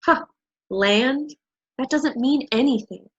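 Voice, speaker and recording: An actress plays a paranoid woman ringleader in a staged reading, grand and condescending. Her voice sits a little high and rough-edged, captured with a muffled sound. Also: slow pace, full character voice. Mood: scornful. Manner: condescending, theatrical. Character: haughty, paranoid woman boss of a scheme.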